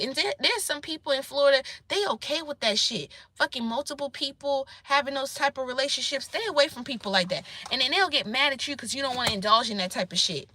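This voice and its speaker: A woman with a squeaky, fairly high voice that has a sharp, bright edge. She talks straight to camera in a blunt, preachy way and swears freely. The sound is dry and close. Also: no room sound. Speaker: self-employed vlogger giving life advice. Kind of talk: casual monologue to camera.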